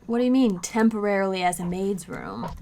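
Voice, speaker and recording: A woman's voice: medium pitch, very animated, fairly quick, a little mellow, a little hiss or hum. A woman playing a playful, nagging housewife in a 1940s-style radio comedy, with teasing, pointed delivery. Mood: puzzled.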